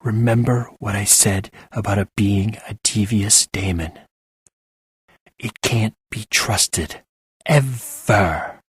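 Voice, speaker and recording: A man's voice: lowish voice, level tone, a little slow, hardly any pauses, husky, no echo. A man voicing a prim, stern woman professor who snaps out warnings. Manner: snappish, warning.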